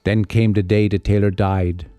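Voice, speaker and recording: A man narrating a folk tale as a storyteller, with theatrical, deliberate phrasing. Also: on the low side, flat delivery, mellow, smooth, no echo, faint music behind.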